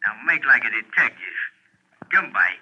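A pushy, insulting American tipster in a 1950s radio mystery. He barks tough, impatient slang in a mid-pitched voice, muffled through a telephone filter. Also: very animated, normal speed, noisy background. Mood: impatient, scornful. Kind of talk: 1950s radio drama acting. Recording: muffled phone voice on old radio.

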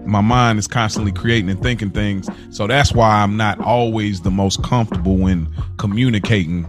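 A man co-hosting a podcast, speaking in a reflective, hesitant, soft-spoken way during a personal conversation. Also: fairly low pitch, steady tone, normal speed, music in the background. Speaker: podcast co-host. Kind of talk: casual conversation.

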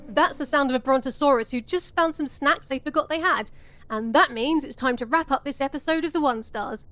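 A woman's voice: high-pitched, close to an everyday voice. A woman playing a crude, sarcastic, grumpy host of a chaotic live broadcast in a comedy audio drama.

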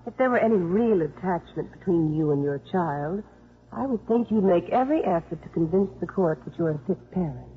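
A woman's voice: on the low side, animated, brisk, natural voice. A woman plays a prim young female official in an old radio play. She speaks with formal, precise disapproval, and the vintage recording is dull and muffled. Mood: indignant. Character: prim, indignant young woman child-welfare investigator. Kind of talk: 1950s radio drama acting and narration.

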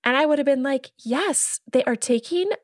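A woman's voice: high-pitched, normal speed, dry recording, clean studio sound. A woman in her thirties with an American accent chatting casually and exasperatedly as a podcast guest. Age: 30s. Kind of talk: casual chat.

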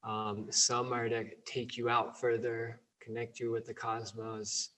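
A man who works as a plant medicine provider, answering interview questions in a relaxed way, full of ums and you-knows. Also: medium pitch, measured pace. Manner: relaxed, many fillers like um and you know. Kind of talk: interview answers over a call.

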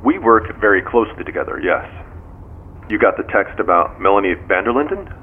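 An actor playing a composed, probing American police detective in an audio drama, speaking formally and in measured phrases over a phone. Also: male voice, fairly low pitch, sing-song. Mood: businesslike. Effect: phone call. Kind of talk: modern audio drama dialogue. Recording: tinny phone line with background noise.

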